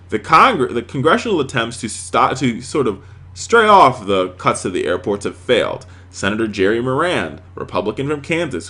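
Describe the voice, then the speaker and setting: Male American political host, mid-pitched and very lively in intonation, opinionated and sarcastic. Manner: sarcastic, emphatic, rhetorical. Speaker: political talk show host. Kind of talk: opinionated news commentary to audience.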